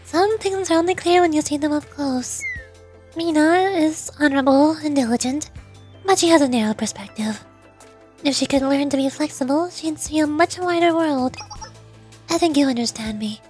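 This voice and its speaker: A woman voicing a composed, calculating young female student president in a game story, speaking in a measured, formal and aloof way. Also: sits high, a little mellow, soft background music, close to an everyday voice. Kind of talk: video game story character dub.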